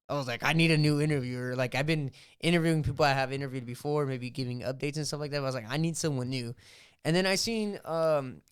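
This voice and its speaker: A man's voice: medium pitch, smooth. A young man hosting a podcast, talking in a casual, slangy way and animatedly retelling what happened. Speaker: podcast host.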